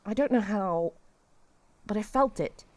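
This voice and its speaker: A woman acting a despairing refugee who confesses old guilt in a reflective, halting way, in an audio drama. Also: mid-range voice, natural voice. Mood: regretful, defeated.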